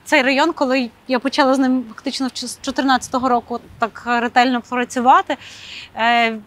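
A woman, an arts program director, answering thoughtfully with frequent hesitations. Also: sits high. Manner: thoughtful, hesitant, many filler sounds. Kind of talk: interview answers. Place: rooftop outdoors, then indoor hall.

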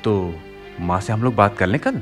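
An actor playing a practical, persuasive younger brother in a family radio play, reasoning and coaxing. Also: male voice, fairly low pitch, lively intonation, normal speed, over music, lightly acted. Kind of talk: Hindi radio play acting.

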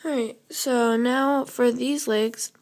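A young woman with a slightly hoarse, fairly high voice walks through a drawing lesson step by step. Her delivery is casual and loose, full of fillers and quick self-corrections. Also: steady tone, darkish tone. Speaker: drawing tutorial host. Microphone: camera over the drawing paper, speaker off frame.

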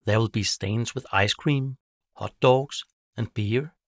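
A man's voice: mid-range voice, slow pace, dry recording, clean studio sound. A man narrating a scripted children's bedtime story, telling it simply in short phrases.

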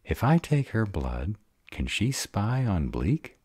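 A man's voice: on the low side, very animated, slow pace, darkish tone, husky, dry recording, clean studio sound, full character voice. A man playing a sarcastic bad-boy vampire in an audio roleplay drama, drawling, guarded but devoted. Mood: sardonic.

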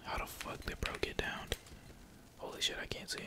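Whispering man, casual gaming ASMR. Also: normal speed. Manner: slangy, swearing, casual. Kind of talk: whispered ASMR gameplay commentary. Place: indoors at home, at a computer. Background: keyboard typing.

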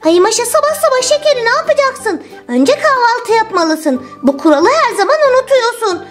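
A woman's voice: very high voice, very animated, normal speed, faint music behind. A woman voicing a caring, bossy big sister who scolds affectionately in a children's toy story. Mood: puzzled, scolding.

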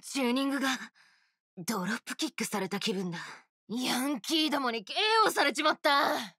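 A woman voicing a brash, swaggering female oni rock star guitarist, with rough tough-girl speech in game voice lines. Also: high voice, fast talker, pushed and bright, no room sound, studio quality, exaggerated voice. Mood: cocky. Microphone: studio mic, dry.

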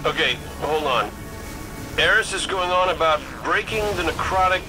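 A man's voice: a little high, normal speed, natural voice, rough recording. A man voicing a reckless, wisecracking robot scout commander in a video game, with a breezy, sardonic delivery. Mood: brisk, improvising. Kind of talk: video game mission dialogue. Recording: game music and effects behind.

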